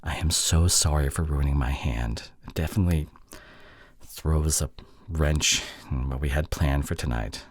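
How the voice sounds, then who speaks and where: Man acting a deep, husky, sheepish young boyfriend. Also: no room sound, clean studio sound. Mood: apologetic, coaxing. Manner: soft, halting, pleading delivery with breathy drops toward a whisper. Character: sheepish, affectionate young boyfriend apologizing to his long-distance partner. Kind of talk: intimate boyfriend roleplay audio drama.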